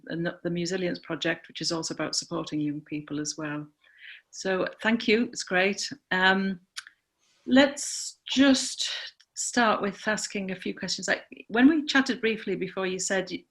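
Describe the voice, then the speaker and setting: A woman's voice: mid-range voice, fairly bright tone. A woman interviewer opening the conversation with polite, somewhat hesitant questions and fillers.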